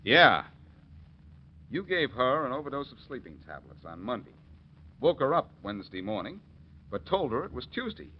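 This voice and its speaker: Punchy, swooping American radio detective. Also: male voice, mid-range voice, big pitch swings, normal speed, long pauses, slightly rough. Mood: accusing, triumphant. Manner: emphatic, punchy, accusing delivery. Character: confident adult male amateur detective, brisk and needling. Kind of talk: 1940s radio detective acting. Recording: muffled, noisy 1940s radio recording.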